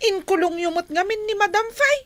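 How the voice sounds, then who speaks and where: A woman's voice: very high-pitched, lively intonation, a little fast, fairly bright tone, a little hiss or hum. A woman playing a frightened, stammering, easily cowed housemaid in a comedy radio drama. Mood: nervous, pleading. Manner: stammering, exclaiming.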